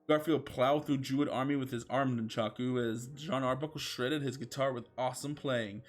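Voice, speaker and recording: A man's voice: medium pitch, steady tone, moderate pace. A man reading fan fiction aloud as a comic dramatic narrator, mock-serious and emphatic.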